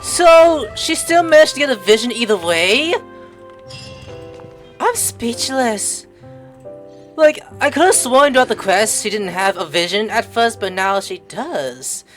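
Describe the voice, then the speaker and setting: A gameplay host chats casually to viewers. The intonation is very animated, moving up and down a lot. Faint game music sits behind the voice. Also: medium pitch, slow.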